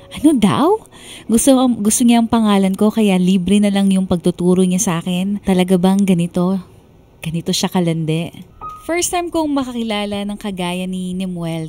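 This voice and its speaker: An actress plays a young woman confiding her romance to a radio host. Her pitch is medium, her manner wondering and bemused, with faint music under the narration. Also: dark tone, close to an everyday voice. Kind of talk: first-person radio drama narration.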